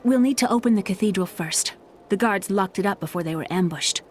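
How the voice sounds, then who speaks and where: A woman's voice: medium pitch, brisk, soft background music, lightly acted. A woman voicing an earnest young survivor. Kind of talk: video game dialogue voice acting.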